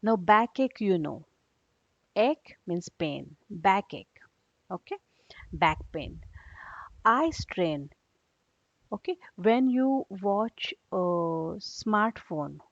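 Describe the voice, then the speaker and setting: A woman teacher takes a young class through a read-aloud lesson at a slow, measured pace. She restates terms simply and checks understanding with short questions. The recording is dull and low in fidelity. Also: animated, gravelly, no room sound.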